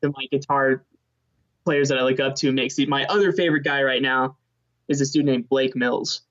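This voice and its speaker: A young man, a guitarist, chatting casually as an interview guest in a relaxed, easygoing way, often saying man and dude. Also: moderate pace, muffled recording. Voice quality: high voice. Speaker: touring session guitarist, guest.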